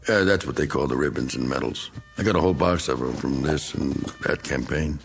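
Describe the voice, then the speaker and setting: An actor playing a bitter, self-pitying middle-aged American war veteran in a radio drama, talking in a folksy, rambling, needling way. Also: male voice, very deep voice, a little fast, vocal fry, faint music behind. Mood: nostalgic, self-pitying.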